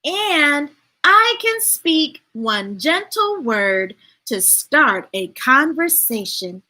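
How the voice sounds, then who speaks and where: A woman hosting a children's storytime, reading aloud in an expressive, playful, emphatic way with chatty asides to viewers. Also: high voice, extremely slow.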